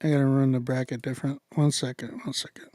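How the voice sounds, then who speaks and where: A man's voice: mid-range voice, level tone, fast talker, darkish tone, dry recording, studio quality. A man with an American accent hosting a music contest livestream in casual, slangy, repetitive hype talk.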